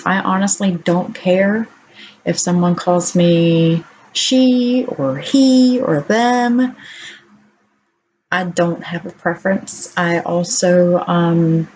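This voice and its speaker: A woman with a naturally deep voice talking candidly at home to her camera in a casual video diary, unhurried and full of ums. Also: darkish tone, slight background noise, rough recording. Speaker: vlogger.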